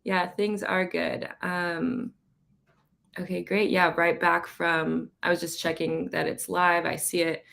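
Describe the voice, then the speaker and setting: A young woman with a slightly low voice hosts a live online interview in a bubbly, chatty way and laughs easily. She sounds a bit under the weather. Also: flat delivery, takes it slowly, vocal fry, fairly bright tone. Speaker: interview host from the marketing team. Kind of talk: casual chat and hosted interview.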